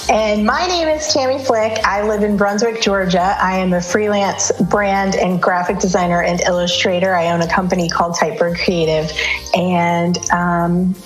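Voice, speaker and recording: A woman's voice: fairly low pitch, steady tone, moderate pace, faint music behind. A woman, a freelance designer, talking earnestly and reflectively in a casual group chat. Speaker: freelance designer, panel guest. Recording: echoey room.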